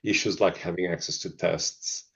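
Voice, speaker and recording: A middle-aged man, answering interview questions thoughtfully and pausing often with ums and restarts. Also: low voice, fairly even intonation, gravelly. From Zimbabwe, Portuguese family. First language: Portuguese. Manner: hesitant, many ums and restarts. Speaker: pharma executive guest. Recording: dull lo-fi audio.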